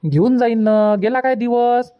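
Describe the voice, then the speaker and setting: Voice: mid-range voice, unhurried, clear, resonant tone, lightly acted. Cartoon village dad, acted out: reassuring, placating husband, Varhadi Marathi accent, dull lo-fi sound. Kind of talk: cartoon comedy dialogue. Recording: dull, muffled lo-fi audio.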